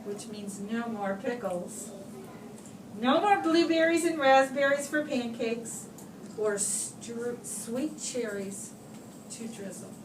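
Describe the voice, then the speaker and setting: Slow-paced woman reading aloud to children. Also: fairly high pitch. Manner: expressive, deliberate phrasing with pauses for young listeners. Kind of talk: children's picture book read-aloud. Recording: roomy, distant microphone, clear background noise.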